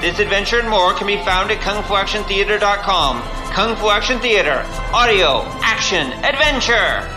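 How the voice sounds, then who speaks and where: A man's voice: high-pitched, lively intonation, normal speed, hardly any pauses, rough recording. A man announcing scripted show titles and credits. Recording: music behind the voice. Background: music.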